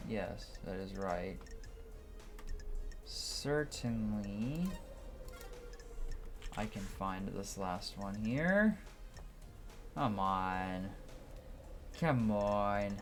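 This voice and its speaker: A man's voice: fairly low pitch, expressive, extremely slow, pauses often. A man doing gameplay commentary, musing aloud to himself and reading game text out. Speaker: gamer doing a playthrough. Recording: music behind the voice. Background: game music.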